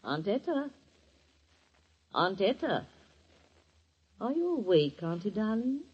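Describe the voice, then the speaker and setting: An actress playing a sharp-tongued, scheming woman who snaps at her family and coos sweetly to her rich aunt, in an old radio play. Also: medium pitch, very animated, slow, husky, heavily acted. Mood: sugary. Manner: cutting, sarcastic, then honeyed. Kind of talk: 1940s radio acting. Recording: dull old radio recording.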